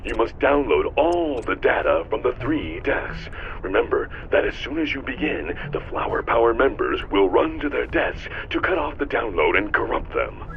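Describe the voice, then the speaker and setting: A man voicing a commanding, scheming robot newspaper boss in a video game, giving deliberate orders. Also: mid-range voice, very animated, creaky, lo-fi sound. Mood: brisk, pleased. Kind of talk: video game character acting.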